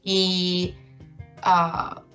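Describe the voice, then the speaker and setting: A woman's voice: fairly low pitch, completely flat delivery, extremely slow, a bit bright, highs cut off, faint music behind. A young woman whose first language is Chinese, talking casually over a video call and often searching for words. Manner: hesitant, searching for words, many restarts. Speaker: interview guest, Chinese teacher. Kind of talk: casual interview in learner Spanish. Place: home in Galicia, video call.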